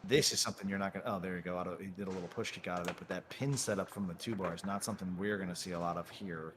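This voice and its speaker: A man in his thirties calls table soccer in a relaxed, chatty play-by-play. His voice sits low. Steady crowd noise from the tournament hall can be heard behind him. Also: racing. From Connecticut, US. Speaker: commentator. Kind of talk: live table soccer commentary. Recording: live venue noise behind.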